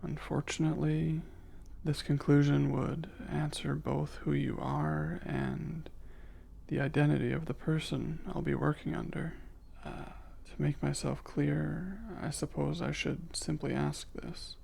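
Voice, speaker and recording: A man performs a curious alien scientist with cool emotional reserve in an intimate ASMR audio drama. The voice is fairly high for a male, heavily creaky and breathy, often close to a whisper. Phrasing is unhurried, formal and precise, with hesitant pauses. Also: level tone, darkish tone, heavily acted. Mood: apologetic, formal. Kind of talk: scripted ASMR roleplay, intimate.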